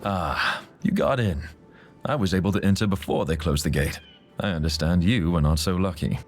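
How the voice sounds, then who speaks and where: A sardonic schemer from a fantasy game. His voice is very deep, and he talks wryly, like a conspirator laying out a plan. Faint music runs behind him. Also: normal speed. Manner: wry, conspiratorial. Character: wry, scheming male infiltrator, sardonic ally. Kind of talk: video game dialogue acting.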